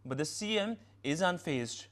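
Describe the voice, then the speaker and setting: A man's voice: fairly high pitch, expressive, normal speed, a bit bright. A man with an Indian accent reading scripted news as an anchor. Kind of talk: scripted newsreading.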